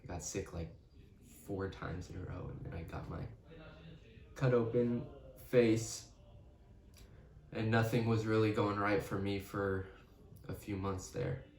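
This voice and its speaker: Slow, deep-voiced young man. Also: steady tone, a little mellow. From West Texas. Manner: slow and thoughtful, pauses with hmm. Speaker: interviewee. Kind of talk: casual reflective interview answers. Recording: roomy, distant mic, crowd noise behind.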